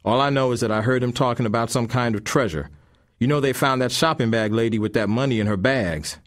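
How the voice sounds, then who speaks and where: A man voicing menacing street thugs in a read-aloud story, talking rough and loud. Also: medium pitch, a little fast, voice pushed up, lightly acted. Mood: tense. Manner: shouting, rough street talk. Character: menacing street thug men, rough and threatening.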